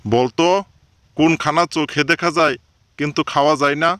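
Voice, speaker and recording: Man acting as a strict schoolteacher, comedy cartoon, fairly high male voice, questioning, dry close recording. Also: steady tone, moderate pace, a bit bright, no echo, lightly acted. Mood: quizzing. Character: stern male schoolteacher quizzing his cheeky pupils.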